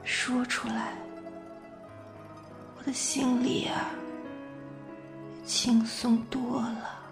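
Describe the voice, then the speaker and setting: A woman acting a tender, weary woman making peace with an old love in a radio play, hushed and deliberate. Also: fairly high pitch, flat delivery. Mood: tender, sorrowful. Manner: slow, hushed, deliberate, pausing between short phrases. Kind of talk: amateur radio drama reading of a stage play. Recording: zither music behind. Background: zither music.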